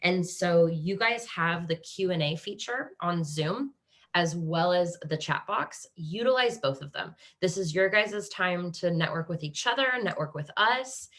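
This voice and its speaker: A woman with an American Midwest accent hosts an online panel over a video call. Her voice sits a little low, and she keeps an upbeat, encouraging tone, often restarting sentences mid-thought. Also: animated, normal speed, fairly bright tone. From Kansas, USA. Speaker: webinar host. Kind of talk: hosting a live online panel.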